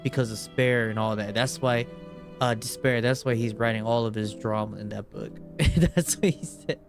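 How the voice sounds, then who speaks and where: A man's voice: medium pitch, moderate pace, creaky, music in the background. A man streaming a game, reacting live in a casual, rambling, slangy way.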